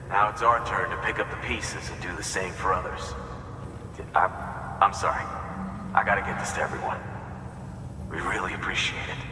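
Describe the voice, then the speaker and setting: An actor playing a weary, grateful and resolute settlement survivor, speaking earnestly and plainly in a dramatic video game. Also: male voice, sits low, natural voice. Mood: grateful, hurried. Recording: echoey hall, clear background noise.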